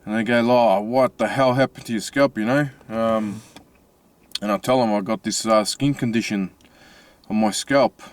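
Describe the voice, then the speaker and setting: An Australian man with a slightly mixed accent from his Italian family, talking casually from inside his car with many pauses and restarts. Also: on the low side. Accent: Australian with Italian-family influence. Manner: halting, many fillers and restarts. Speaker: vlogger. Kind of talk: casual personal vlog.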